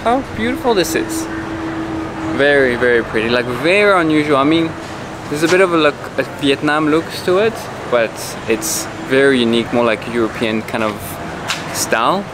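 A man's voice: medium pitch, expressive, normal speed, background noise. A man chatting to the camera as a travel vlogger, enthusiastic and repeating words for emphasis. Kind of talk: casual travel vlog talk. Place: outdoors and in a cafe. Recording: loud music bed over the voice. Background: loud background music.

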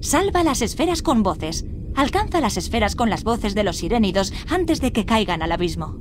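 A woman's voice: medium pitch, big pitch swings, normal speed, noisy background. A woman reading scripted instructions as the tutorial narrator of a video game.

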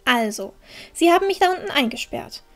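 A woman's voice: sits high, lively intonation, fairly quick, fairly bright tone, faint music behind. A woman playing an earnest, pragmatic young girl adventurer in a fantasy audio drama, explaining and pleading. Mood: earnest, urgent. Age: young adult. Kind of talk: fan-made fantasy audio drama acting.

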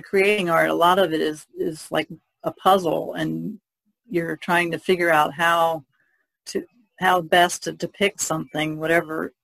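A woman on a podcast panel, explaining things in casual, measured conversation. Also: lowish voice, vocal fry, fairly bright tone, dry recording, low-quality recording. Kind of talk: casual discussion of art.

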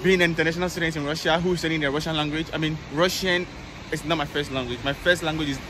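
A man's voice: on the high side, fairly even intonation, rapid-fire, background noise. A young man vlogging outdoors about student life, talking in an upbeat, chatty way with lots of "I mean", filmed on a phone at arm's length. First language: English. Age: young adult. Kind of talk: casual vlog chat. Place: outdoors on a city street and campus. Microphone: phone held at arm's length.

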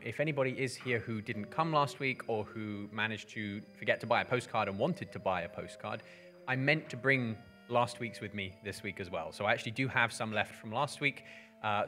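A man in his thirties giving a measured, expressive live dramatic reading as a storyteller. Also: on the low side. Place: indoor book-lined venue before a small live audience.

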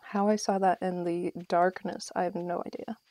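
A woman's voice: fairly low pitch, flat delivery, moderate pace, dark tone, dry recording. A woman giving casual live commentary as she streams a game, playfully talking to herself and her viewers.

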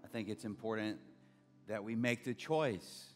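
Earnest pastor on a church stage. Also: male voice, on the low side, unhurried. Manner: earnest, building to emphatic bursts. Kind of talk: conversational sermon. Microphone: handheld mic. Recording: long reverb of a church hall, faint music.

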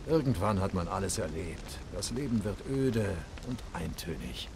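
A man's voice: deep voice, animated, normal speed, background noise. An actor playing a jaded, sardonic, cruel nobleman bandit in video game dialogue, with a wry, dismissive drawl. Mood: world-weary. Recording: rain and outdoor ambience behind.

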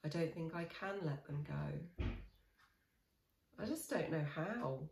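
A woman in her forties guiding a tapping session, speaking slow, soothing, repeated affirmations with sighs. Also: sits low, lively intonation, gravelly, darkish tone. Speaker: EFT tapping coach. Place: small room indoors. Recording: echoey small room.

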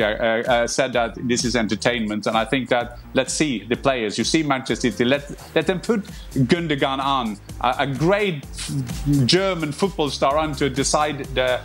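Man, mid-pitched, measured pundit, faint music underneath. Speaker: football pundit. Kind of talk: casual panel debate.

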